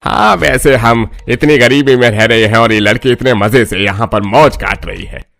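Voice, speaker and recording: A man's voice: medium pitch, moderate pace, vocal fry, fairly bright tone. A man playing a greedy, scolding father of a bride in a cartoon family story. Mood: resentful, demanding. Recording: music bed under the voice.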